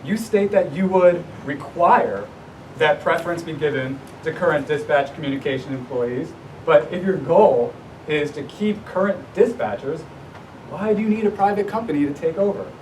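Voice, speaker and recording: A man reading a prepared public comment to a town council, speaking formally and earnestly with deliberate emphasis. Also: darkish tone. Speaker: resident addressing a town council. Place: indoor public council meeting. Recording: distant mic, noisy room with low rumble.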